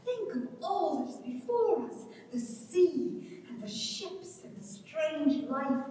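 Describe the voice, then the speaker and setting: A restless, proud young countrywoman, acted by a woman on stage, high-voiced and sweeping in pitch. She pleads and scorns with passion in the manner of a rural drama. The voice reaches the listener through a very echoey hall. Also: very animated, dark tone, full character voice. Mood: eager, hopeful. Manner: impassioned, pleading, quick to scorn. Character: proud, restless young Irish countrywoman longing for great cities. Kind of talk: dramatic stage reading of a rural Irish play. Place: large hall at a live reading. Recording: echoey hall, distant mic, faint noise.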